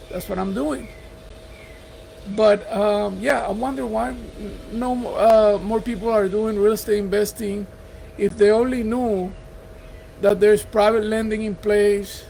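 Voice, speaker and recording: A man's voice: high-pitched, vocal fry, darkish tone, slight background noise, poor audio. A middle-aged man from Puerto Rico with a Puerto Rican Spanish accent, giving an earnest, encouraging motivational talk to camera about investing. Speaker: real estate investor. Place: house.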